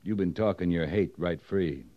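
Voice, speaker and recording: An actor playing a stern, steady cavalry captain in an old radio western, speaking in a terse, commanding, measured way. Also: male voice, low-pitched, natural voice. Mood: firm, calm. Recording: muffled old radio drama recording.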